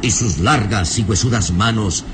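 An actor narrating a gothic radio adventure in a solemn, suspenseful, dramatic scripted style. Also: male voice, on the low side, measured pace, noisy background, lightly acted.

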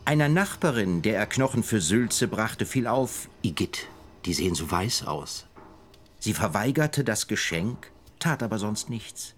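An actor in a radio drama playing a sardonic writer, narrating in a deliberate, literary manner. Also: male voice, fairly low pitch, expressive. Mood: grave, chronicling.

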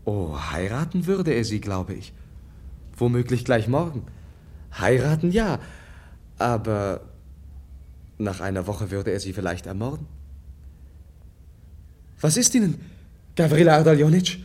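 Gentle husky young prince. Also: male voice, medium pitch, sing-song, unhurried, pauses often. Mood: troubled, intense. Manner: polite, earnest, hesitant. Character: gentle, naive, earnest sickly young prince. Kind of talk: 1950s German radio drama acting.

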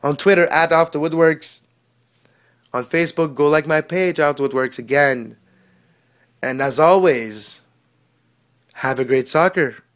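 A man's voice: on the high side, measured pace, pauses often, a bit bright. A man hosting his own soccer podcast, talking casually with loose, phrasing. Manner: casual, chatty, non-native phrasing with occasional French words. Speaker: podcast host. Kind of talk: solo soccer podcast monologue.

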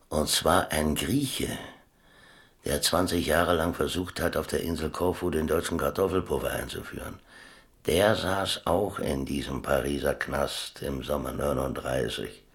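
An old sailor looking back on his life in a radio play. The voice is extremely deep and full of gravelly creak. He talks slowly, musing and wry, in plain colloquial words. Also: heavily acted. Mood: insistent, reminiscing. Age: older. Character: old seaman reminiscing about chess, wry, rambling storyteller. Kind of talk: radio play monologue, colloquial first-person storytelling.

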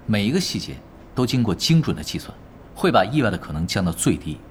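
An actor playing a laid-back young esports expert in an audio drama, casual, patient and teasing, explaining in an analytical way. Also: male voice, on the low side, very animated, fast, slight background noise.

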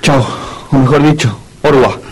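An actor playing a practical, streetwise best friend in a comedic radio play, chiding and reassuring in colloquial speech with a Rioplatense Argentine accent. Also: male voice, mid-range voice, moderate pace, slight background noise, close to an everyday voice, poor audio.

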